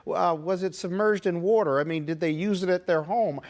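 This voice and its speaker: An American man speaks as a legal analyst in a television interview. His voice is fairly high for a man, and he argues emphatically, often through rhetorical questions. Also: fairly quick. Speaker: guest legal analyst, criminal defense attorney. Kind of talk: TV interview commentary.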